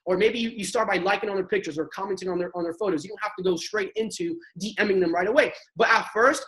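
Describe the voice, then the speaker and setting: A man's voice: high voice, fast, a bit bright, a little hiss or hum, poor audio. Slangy young man coaching a sales team. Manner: casual and slangy, acts out sample chats; emphatic, coaching delivery. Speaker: network marketing panelist. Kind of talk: motivational sales training talk.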